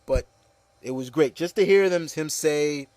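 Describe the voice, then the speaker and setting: Male commentator, lighter pitch, dry recording. Casual and opinionated, repeats himself, talks straight to the listener. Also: unhurried, a little mellow, dry recording. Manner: rambling, repeats phrases. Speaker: video commentator.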